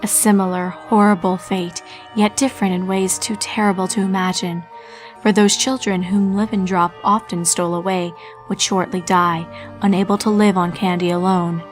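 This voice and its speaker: A woman reading a dark story aloud in a steady, solemn voice at a middling pitch. Faint music plays under her narration. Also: normal speed.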